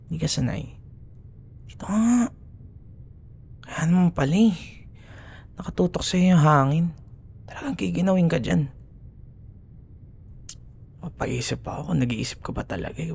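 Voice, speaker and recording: A man's voice: a little high, animated, moderate pace, vocal fry, long pauses, a little mellow, slightly rough, exaggerated voice. A man with a Filipino accent playing a grumbling, teasing young school rival who secretly cares, in a sarcastic, casual ASMR boyfriend roleplay. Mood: concerned, teasing. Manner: sarcastic, casual bickering with sighs and murmured asides.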